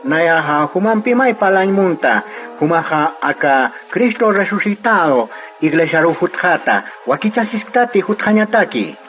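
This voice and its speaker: An actor playing a courteous, purposeful church pastor in a radio drama, speaking haltingly. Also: male voice, on the high side, big pitch swings, a bit bright, soft background music. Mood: courteous, earnest. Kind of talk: scripted radio drama dialogue. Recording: faintly noisy radio recording.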